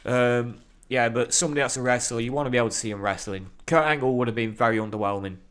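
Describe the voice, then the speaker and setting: A man co-hosting a casual wrestling podcast, talking in an animated, rambling way and swearing freely. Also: mid-range voice, fast, clean tone. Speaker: podcast co-host. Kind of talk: casual chat.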